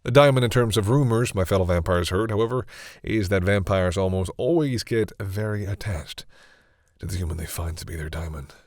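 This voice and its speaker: A man playing a proud vampire captor who is awkwardly softening, speaking hesitantly and condescendingly to the listener in an intimate audio roleplay. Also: sits low, a little fast, no echo, studio quality. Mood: instructive, stern. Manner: hesitant, sighing, wryly condescending toward humans.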